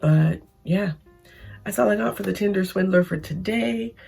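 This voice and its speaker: A Canadian woman talks to her viewers in a chatty, emphatic vlog, her voice gravelly and raspy from losing it. She restarts her sentences often as she makes her point. Faint music plays behind her. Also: medium pitch, normal speed, a little mellow. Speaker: vlogger. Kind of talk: casual opinion vlog.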